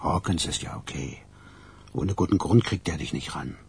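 An actor playing an elderly mobster, wry and gallant, with a husky, raspy voice, in a radio crime drama. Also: male voice, low voice, normal speed, a little mellow, exaggerated voice. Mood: uneasy, confiding. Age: older.

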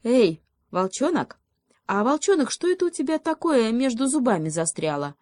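A woman's voice: a little high, big pitch swings, normal speed, a little mellow, dry recording, clean studio sound, pitched above the performer's own voice. A woman voicing a sly male hedgehog in a children's story, speaking with mock gravity and teasing deliberation. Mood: mock-serious.

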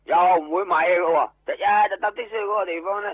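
A man's voice: high voice, fast talker, no echo. An actor playing a flustered, grumbling male friend in a Cantonese radio drama, complaining in exclamations with a Hong Kong accent. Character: flustered, grumbling male buddy, exasperated but obliging. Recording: dull old radio recording, faint music.

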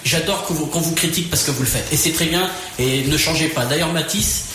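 A man's voice: on the high side, brisk, sharp tone. A man, a musician and guest on a radio show, talking earnestly with repeated restarts. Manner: earnest, repetitive, stammering restarts. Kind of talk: casual radio chat. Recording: very echoey room with faint noise.

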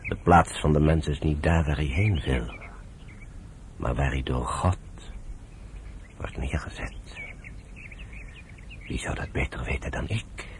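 An actor playing a sober, devout British general in a Dutch radio drama, speaking in a measured, earnest and emphatic way. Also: male voice, rumbling low, a little hiss or hum. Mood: tender, solemn.

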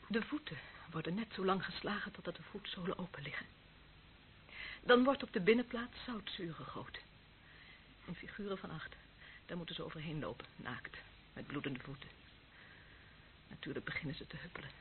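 A woman's voice: fairly low pitch, fairly quick, pauses often, lightly acted. Actress as bitter young policewoman, tinny noisy recording. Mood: coldly menacing. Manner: bitter, taunting, intense, often dropping to a whisper. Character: embittered, lonely young policewoman, former death squad member. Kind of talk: radio drama acting. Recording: muffled phone-like band, clearly noisy old recording.

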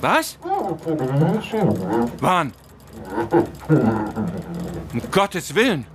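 An actor playing a dutiful, anxious military aide, speaking formally and deferentially in a radio drama. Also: male voice, high voice, very animated, very slow, background noise, full character voice. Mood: alarmed.

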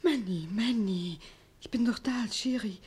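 High, husky, distraught wife. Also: female voice, normal speed, darkish tone. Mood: pleading. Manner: emotional, pleading. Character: distraught, pleading wife of a dying man. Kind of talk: German radio play acting.

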